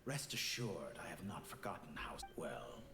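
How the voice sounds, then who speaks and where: Game villain: arrogant male scientist, lowish pitch, swooping intonation, cool and condescending. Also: sing-song, vocal fry, roomy sound, noisy background, heavily acted. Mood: cool condescension. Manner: measured, condescending, philosophical. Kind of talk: scripted video game dialogue.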